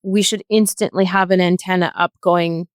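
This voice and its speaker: A woman's voice: fairly low pitch, fairly even intonation, moderate pace, clean tone, no echo, studio quality. A woman speaking as a podcast guest, articulate and careful in a thoughtful discussion. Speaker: podcast guest, nurse and health educator.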